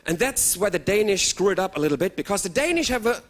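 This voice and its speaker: A man, performing stand-up comedy for a live audience with lively outbursts. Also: sits high, fast talker. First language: German. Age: 30s. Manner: animated, with sudden loud outbursts and put-on voices. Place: stage before a live audience.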